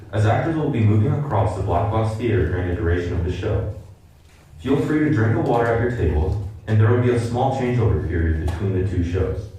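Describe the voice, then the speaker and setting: A man's voice: fairly low pitch, dark tone. A man giving a scripted pre-show announcement to welcome people in a theater. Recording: very echoey, distant, faint noise.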